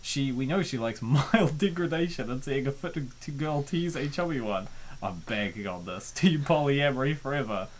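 A man hosting a comic dub, giving joking, theatrical and excitable commentary and reading comments aloud. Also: medium pitch, normal speed, slight background noise.

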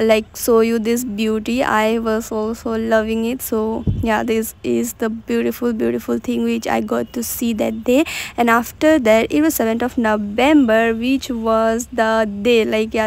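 A woman's voice: on the high side, vocal fry. A young woman from India giving a chatty voice-over for her daily vlog, retelling her days in simple sentences with many fillers. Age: young adult. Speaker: vlogger. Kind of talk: voice-over narration of a travel vlog.

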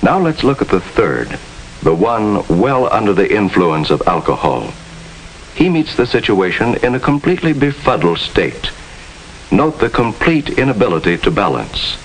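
A man's voice: fairly low pitch, very animated, normal speed, rough recording. An American man giving formal, instructive narration with precise diction in the style of a 1950s educational film. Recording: hissy 1950s film soundtrack.

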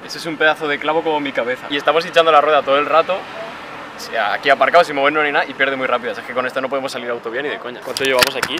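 A man in his twenties chatting casually to camera while travelling, filming at arm's length outdoors and talking in a quick, chatty way. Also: mid-range voice, fairly even intonation, noisy background. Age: 20s. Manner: chatty, rapid, filler-heavy. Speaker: travel vlogger. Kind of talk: casual travel vlog narration. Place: outdoors on a bridge, city streets and coastal trail. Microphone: handheld camera at arm's length.

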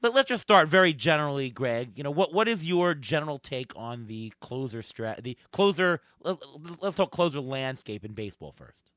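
A slightly hoarse man hosting a casual sports podcast. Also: fairly high pitch, lively intonation, moderate pace, a bit bright, dry recording. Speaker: podcast host. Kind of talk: casual sports talk with a co-host and guest.

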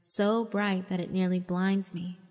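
A woman voicing a gentle, ancient female fiend in a visual novel, wistful and weary, with a slow, solemn delivery. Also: medium pitch, flat delivery, natural voice. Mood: wondering, happy. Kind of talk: dramatic visual novel narration.

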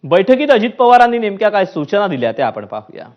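A man's voice: high-pitched, very animated, fast. A man presenting scripted news in an emphatic, formal delivery. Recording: muffled broadcast audio with music bed. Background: news music bed.

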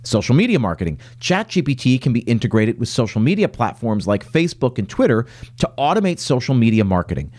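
Man, 40s, solo podcast host at a home desk; animated pitch, middle-range voice, casual explainer with fillers. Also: fast talker. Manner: reads lists aloud, then talks loosely with many fillers and restarts. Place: home office with plants.

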